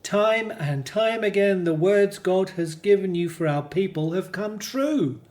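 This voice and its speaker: A man's voice: sits high, expressive, a little slow, a little mellow. A man playing a hopeful Hebrew slave and family man in a scripted radio drama, telling things earnestly. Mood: hopeful, reassuring.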